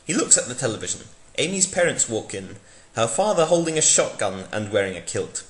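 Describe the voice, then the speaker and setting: A man's voice: medium pitch, sing-song, fairly quick. Theatrical man reading aloud, echoey room. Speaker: narrator, dramatic reader. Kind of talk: mock-dramatic scripted fan fiction reading.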